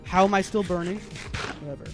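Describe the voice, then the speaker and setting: High-voiced man chatting over game music. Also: fast. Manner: chatty, self-narrating while playing, easily animated. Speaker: video game live streamer. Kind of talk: casual gaming stream commentary and chat.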